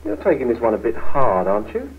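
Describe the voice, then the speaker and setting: An actor playing an easygoing, unambitious British office colleague with a wry, placating manner in a 1970s training film. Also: male voice, mid-range voice, very animated, fast talker, lightly acted. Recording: tinny, noisy 1970s film soundtrack.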